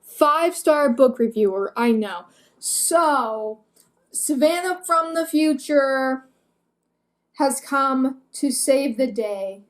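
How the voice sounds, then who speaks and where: Young woman with high voice, slow and rambling. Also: animated. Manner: self-deprecating, candid, hesitant. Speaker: book review vlogger. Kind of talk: casual rambling rant review to camera.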